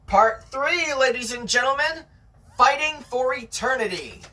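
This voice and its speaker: Someone reading a novel aloud in an animated, theatrical way, with chatty asides to the listener. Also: high voice, a little slow, distant mic.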